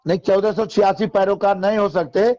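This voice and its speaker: A man's voice: sits high, steady tone, a little fast, darkish tone. A man on a recorded phone call, answering in a deferential, placating and noncommittal way. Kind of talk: phone conversation, short deferential replies.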